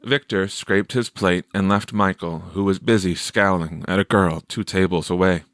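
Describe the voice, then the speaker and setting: A man reading a story aloud as a scripted narration, with measured, clear phrasing. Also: low-pitched, fairly even intonation, fairly bright tone, no room sound, clean studio sound. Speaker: audiobook reader.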